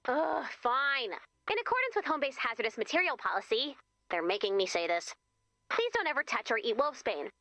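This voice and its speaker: A woman's voice: sits high, very animated, brisk, bright, forceful tone, no echo, exaggerated voice. A woman voicing a perky, sassy robot assistant with sarcastic, quippy delivery in a video game. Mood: grudging, deadpan. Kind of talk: video game character voice lines.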